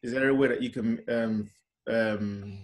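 A man's voice: medium pitch, steady tone, unhurried. A man, hosting a casual interview in a polite, informal, slightly hesitant way. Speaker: interviewer, host. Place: living room at home, on a video call. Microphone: computer or phone on a video call.

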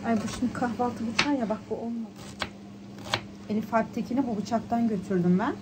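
A woman's voice: a little high, normal speed, background noise. A woman in her thirties chatting casually and colloquially as she narrates her everyday vlog. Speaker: vlogger. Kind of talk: casual vlog narration while shopping and cooking.

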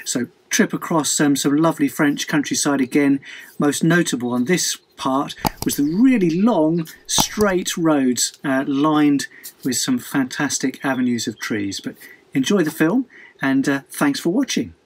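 A middle-aged man hosting his travel vlog from inside a parked campervan, talking to a camera on the dashboard in a relaxed way with plenty of "uh"s. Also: a little high, a little slow, a little hiss or hum, poor audio. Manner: relaxed, hesitant with fillers. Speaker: vlog host. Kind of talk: casual to-camera intro. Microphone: camera facing him from the dashboard.

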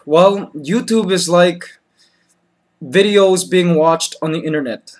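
Man, 30s, high-pitched vlogger, patient and amused. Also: slow, darkish tone. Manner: patient then exasperated, laughing. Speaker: comedy vlogger. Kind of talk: comedy sketch vlog. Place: small bedroom, indoors.

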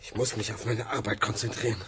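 A man's voice: on the low side, steady tone, fast talker, background noise, close to an everyday voice. A man playing a tormented, obsessed student in a German radio drama, muttering agitatedly to himself in broken phrases. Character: tormented, obsessed male student haunted by hazy memories.

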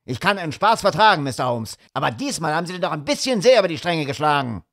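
A man voicing an angry, blunt middle-aged gentleman who speaks in a broad rural dialect. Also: on the high side, big pitch swings, quick pace, voice pushed up. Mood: furious. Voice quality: rough broad dialect, blustering.

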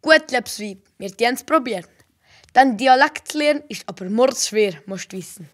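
A blunt young village local, acted by a woman, in an amateur radio play. The voice is fairly high and has a Valais Swiss German accent. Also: animated, moderate pace. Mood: blunt, instructing.

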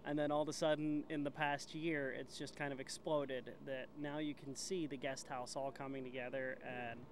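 Young guy from pennsylvania, easygoing, slightly high voice, faint hiss behind. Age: young adult. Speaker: mission engineer. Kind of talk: interview answers.

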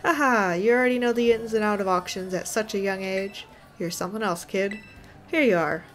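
A woman doing an excitable old gym leader. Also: fairly quick, soft background music, natural voice. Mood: folksy. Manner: exclaiming, folksy, booming catchphrase.

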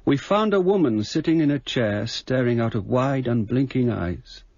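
A sober English gentleman narrating a radio mystery. His husky, mid-pitched voice is measured and literary, recorded dry. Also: expressive, no echo, lo-fi sound. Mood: sober, reflective. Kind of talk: scripted radio drama narration.

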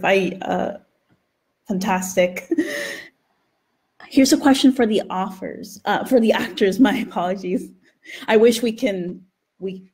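Casting: middle-aged woman, event moderator reading audience questions, mid-range pitch, video-call sound. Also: darkish tone. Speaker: host and moderator of a library event. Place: indoor book-lined room. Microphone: video call, computer microphone.

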